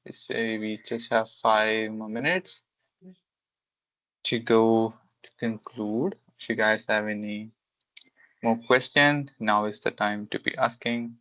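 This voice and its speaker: A man's voice: lowish voice, fairly even intonation, takes it slowly, sharp tone, no room sound, rough recording. A man hosting a webinar Q&A on a video call, speaking hesitantly with many fillers. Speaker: webinar host.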